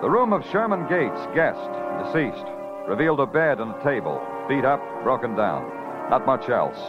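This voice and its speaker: An American man playing a wry hard-boiled New York police detective in a 1950s radio crime drama, deadpan and terse. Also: medium pitch, normal speed, natural voice. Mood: wry, world-weary. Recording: muffled old radio recording with music.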